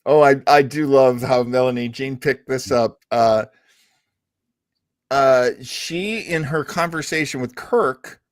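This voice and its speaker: A man's voice: medium pitch, slow, no room sound. Man chatting on a podcast, dry close sound. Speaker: podcast co-host. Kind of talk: casual fan podcast chat.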